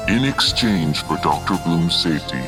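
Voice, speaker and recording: Man as a very deep, calculating mastermind. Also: lively intonation, music in the background. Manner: measured, formal, unhurried. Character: cool, calculating male mastermind of a secret organization. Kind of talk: audio drama acting.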